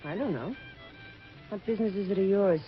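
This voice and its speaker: A woman's voice: medium pitch, fairly quick, soft background music, lightly acted. An actress playing a defiant, evasive nightclub woman in a 1950s radio drama, curt, brittle and dismissive. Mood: defensive, scornful. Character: defiant, evasive nightclub woman shielding her kid brother. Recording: muffled 1950s radio broadcast recording.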